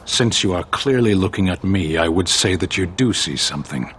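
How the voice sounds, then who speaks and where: An actor playing an aloof, dryly sardonic adventuring companion in a video game, formal and deadpan. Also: male voice, deep voice, normal speed, a little hiss or hum.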